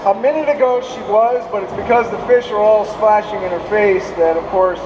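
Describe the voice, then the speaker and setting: A hoarse man gives a rambling, explanatory seminar talk, his voice pitched high for a man. He sounds distant from the microphone in a roomy, echoing hall, with a steady air-conditioning hum behind him. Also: moderate pace, highs cut off. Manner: explanatory, digressive, with restarts. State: hoarse from speaking. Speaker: fishing seminar presenter. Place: expo seminar hall, indoors.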